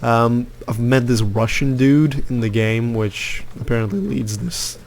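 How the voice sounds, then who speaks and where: A man's voice: medium pitch, a little slow, darkish tone, a little hiss or hum. A young man from Lithuania giving rambling, opinionated commentary over his gameplay, with lots of fillers. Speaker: gaming youtuber.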